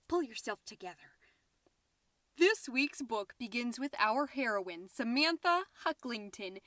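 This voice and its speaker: A woman's voice: high voice, bright, forceful tone, dry recording, clean studio sound, exaggerated voice. A woman playing a chatty, scatterbrained, overexcited middle-aged suburban mom who hosts a comedy podcast, rambling, interrupting herself and stressing words theatrically. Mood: flustered. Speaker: podcast host. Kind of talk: comedic character monologue as a homemade podcast.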